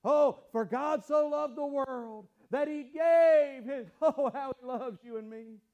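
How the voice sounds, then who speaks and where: Preacher, male, very high voice, unhurried, impassioned, bursts into shouts. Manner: stumbles and restarts words. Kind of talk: fiery sermon.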